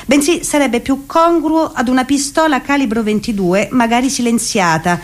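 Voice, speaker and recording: Woman, fairly high pitch, scripted narration, radio theatre actor, dramatic account. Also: normal speed.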